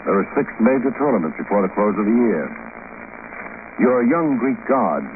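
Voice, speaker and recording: An actor playing a wisecracking private investigator who narrates and questions in a radio drama, deadpan and sardonic. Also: male voice, fairly low pitch, close to an everyday voice. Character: dry, wisecracking hardboiled male private investigator. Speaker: detective lead and narrator. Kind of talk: 1950s radio detective narration and dialogue. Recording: noisy, tinny old radio recording.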